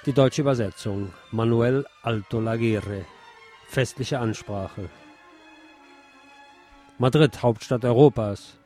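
A man giving a scripted literary talk and reciting poetry in a measured, deliberate, declamatory way, like a radio presenter. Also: medium pitch, dark tone.

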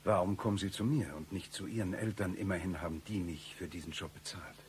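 A shady ex-doctor turned detective from a radio comedy, acted by a man. The voice is very low and delivers absurdities with droll composure, over a faint hum. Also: a little fast, natural voice. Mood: matter-of-fact. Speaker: actor in a radio play. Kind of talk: comic radio play acting.

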